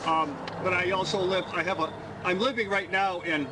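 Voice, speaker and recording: A man's voice: high-pitched, steady tone, normal speed. A man from Michigan with a Midwestern US accent, a driver pulled over at a traffic stop who answers hesitantly and stammers. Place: roadside by a highway. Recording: loud traffic noise, voice off-mic.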